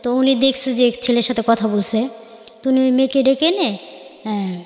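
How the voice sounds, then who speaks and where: High-voiced young Bangladeshi woman, halting storyteller. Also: darkish tone. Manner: halting, self-correcting, acts out reported dialogue. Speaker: guest telling life story. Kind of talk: informal personal storytelling. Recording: echoey, reverberant room.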